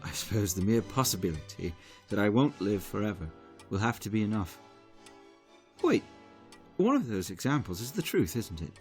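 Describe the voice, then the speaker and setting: An actor playing a centuries-old director, courtly, stern and sceptical, with formal old-fashioned speech in an audio drama. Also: male voice, fairly low pitch, sing-song, moderate pace, darkish tone, faint music behind. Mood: grave, resigned.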